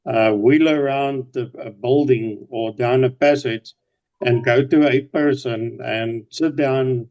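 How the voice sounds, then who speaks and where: A man's voice: medium pitch, steady tone, slow, darkish tone. A man whose first language is Afrikaans, talking casually with many fillers and restarts. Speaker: participant sharing ideas. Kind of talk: casual discussion. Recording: dull lo-fi sound.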